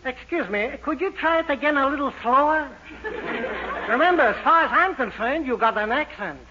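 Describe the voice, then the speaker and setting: An actor playing an excitable, kindly, puzzled shop proprietor in a folksy 1940s radio comedy style. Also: male voice, very high voice, normal speed, lo-fi sound, a crowd in the background. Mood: puzzled, teasing. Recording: old 1940s radio, noisy, audience.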